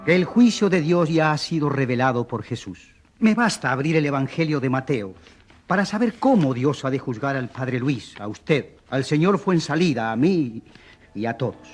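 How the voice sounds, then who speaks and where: A man acts out an eloquent defence lawyer in a courtroom radio drama. His mid-pitched voice swings widely as he argues with emphatic rhetoric and ironic politeness. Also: lively intonation, normal speed, faint music behind, natural voice. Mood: emphatic, assured.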